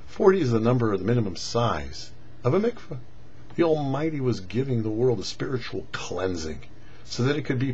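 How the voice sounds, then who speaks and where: Guy doing a rabbi's torah talk, lively up and down pitch, kinda muffled with a bit of noise. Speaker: rabbi presenting a weekly Torah portion show. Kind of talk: religious teaching, read commentary. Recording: dull lo-fi audio with faint noise.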